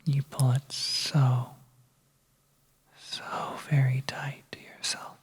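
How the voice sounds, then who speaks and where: A storyteller narrating a suspenseful ASMR tale in a soft, breathy whisper, hushed and gentle with pauses. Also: medium pitch, little pitch movement. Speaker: audio storyteller.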